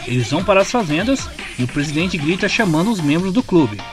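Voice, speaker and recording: A man's voice: a little high, big pitch swings, fast talker. A man with a Brazilian accent reading a scripted retelling of a comic story as narrator. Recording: music bed behind the voice. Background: clear background music.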